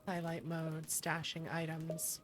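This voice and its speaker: A woman with a fairly low voice streams a game. She moves between reading on-screen text aloud and playful, expressive reactions of her own. Music plays clearly behind her. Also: slow pace.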